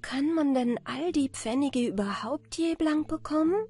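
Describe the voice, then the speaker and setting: A woman's voice: sits high, sing-song, unhurried, a little mellow, soft background music, voice pushed up. A woman voicing a curious, timid young village maid in a fairy tale. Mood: curious, anxious. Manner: questioning, timid. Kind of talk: children's fairy tale reading.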